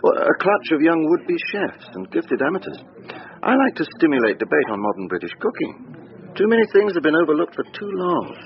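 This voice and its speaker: A man's voice: a little high, sing-song, moderate pace. An actor playing a suave, flirtatious male chef with a teasing manner in a radio drama. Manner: smooth-talking, teasing. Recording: dull, noisy radio drama recording.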